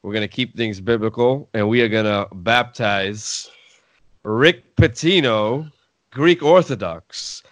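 Male sports talk host, low-pitched and dry-sounding, blunt, casual delivery. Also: takes it slowly, fairly bright tone, no echo.

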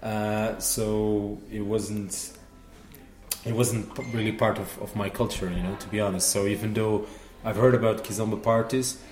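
A man, telling stories in a relaxed way with lots of "you know"s. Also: fairly low pitch, normal speed, echoey room. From Portugal. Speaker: dance teacher and festival organizer. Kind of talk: casual interview answers.

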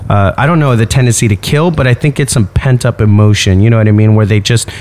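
A man co-hosting a podcast in casual chat, speaking haltingly, repeating himself and swearing often. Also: on the low side, darkish tone. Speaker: podcast co-host. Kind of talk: casual chat about true crime.

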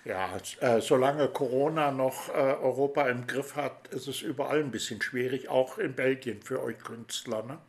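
A man's voice: medium pitch, normal speed, hardly any pauses. A man hosting an interview, asking rambling, friendly questions full of fillers. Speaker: interview host. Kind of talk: chatty radio-style interview.

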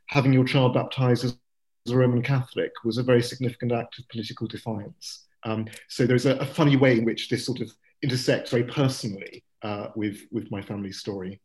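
A man speaking in a panel discussion as a journalist and church historian, measured and pausing with fillers between phrases. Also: medium pitch, fairly even intonation, a little mellow, low-quality recording.

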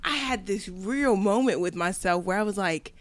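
A woman in her twenties with a mid-range voice talks candidly in a relaxed group chat. She speaks reflectively, pausing to think as she goes. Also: mid-range voice. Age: 20s. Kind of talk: casual chat.

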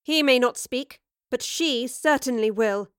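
A woman voicing a determined, scornful gentlewoman in a story, with brisk, dryly understated wit. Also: fairly high pitch, expressive, dry recording, clean studio sound, close to an everyday voice. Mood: wry, defiant.

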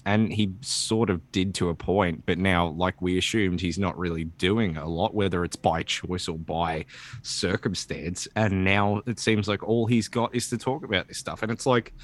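A man chatting casually as a podcast co-host, rambling and repeating words as he thinks out loud, with casual swearing. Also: sits low. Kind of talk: casual conversation about comic book films.

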